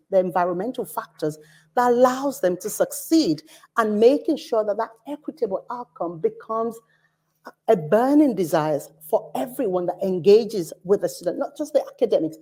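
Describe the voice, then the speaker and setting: A woman's voice: mid-range voice, warm tone, husky. A woman in her forties with a Nigerian accent, speaking as an academic director on a conference panel in an earnest, storytelling way.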